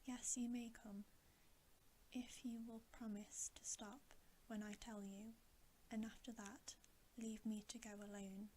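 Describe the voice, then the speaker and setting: A great storybook lion, whispered by a woman reader, weary, sad and kindly. The speech is solemn, slow and soft-edged, with little rise or fall and faint noise behind it. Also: a little high, little pitch movement, natural voice, poor audio.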